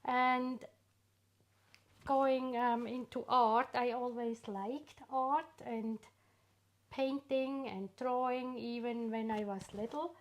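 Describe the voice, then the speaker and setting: A middle-aged woman with a Swiss accent, English not her first language. She talks slowly, lingering on pauses and fillers as she shares her story. Her voice sits a little high. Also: fairly even intonation. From Switzerland. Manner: unhurried, warm storytelling with frequent pauses and fillers. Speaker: artist guest. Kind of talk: casual interview.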